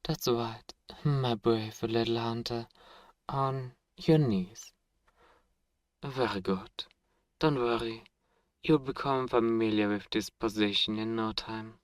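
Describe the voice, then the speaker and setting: A man's voice: mid-range voice, no echo, lightly acted. A man playing a centuries-old, possessive, dominant vampire in an ASMR roleplay, speaking in formal, condescending, menacing phrases on a close microphone. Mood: commanding, smug. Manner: silky, menacing, condescending, drawn-out formal phrasing with pet names. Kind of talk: ASMR roleplay audio drama. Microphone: close microphone, dry.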